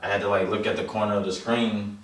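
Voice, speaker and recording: A man's voice: on the low side, little pitch movement, quick pace. A man in a casual chat as co-reviewer, talking in a loose, blunt, slangy way with some swearing. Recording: echoey, distant mic with background noise.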